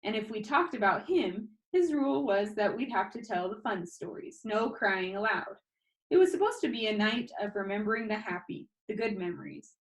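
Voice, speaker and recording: Casting: woman storybook reader, mid-pitched, expressive audiobook narration for children. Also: low-quality recording.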